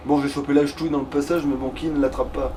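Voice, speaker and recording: A man's voice: mid-range voice, level tone, fast, dark tone. A man chats casually over a video game, informal and slangy, swearing offhandedly. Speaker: let's player. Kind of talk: casual gaming chat. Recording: distant mic with background noise.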